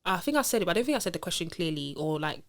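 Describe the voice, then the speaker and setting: A woman from co-hosting a casual podcast chat, animated and enthusiastic, laughing easily. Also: lowish voice, rapid-fire. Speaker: podcast co-host.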